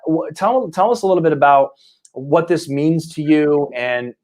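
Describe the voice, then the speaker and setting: A man hosting a casual motivational interview show, talking in a wordy, halting way with frequent restarts. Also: on the high side, expressive, darkish tone. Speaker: interview show host and coaching institute founder.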